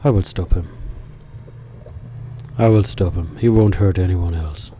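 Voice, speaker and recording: A grim male detective, played by a man from a story game. He speaks low and mellow, in short firm phrases, resolute throughout. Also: measured pace, a little hiss or hum.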